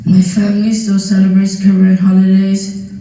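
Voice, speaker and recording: A young boy slowly reading his essay aloud, careful and even. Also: sits high. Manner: slow, careful, flat reading. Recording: video played through speakers, heard from far off in an echoey gym.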